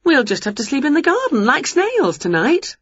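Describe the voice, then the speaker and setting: A woman voicing an excitable, bossy, scatterbrained witch in a children's story, full of exclamations and mock swearing. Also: sits high, moderate pace, no room sound, muffled recording, voice pushed up. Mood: grumbling.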